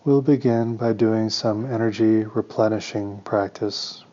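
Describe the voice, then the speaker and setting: A man leading a guided meditation as a teacher, speaking calm, deliberate, soothing instructions with pauses, on a slightly muffled recording. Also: on the low side.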